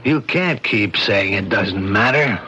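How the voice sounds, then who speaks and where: A faintly hissy, dull radio drama carries an earnest police sergeant. He has a fairly low voice and a plain, slightly hesitant, sympathetic way of talking. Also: animated, slow, sharp tone, heavily acted. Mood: earnest, firm. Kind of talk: old-time radio drama acting. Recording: dull, noisy old radio broadcast.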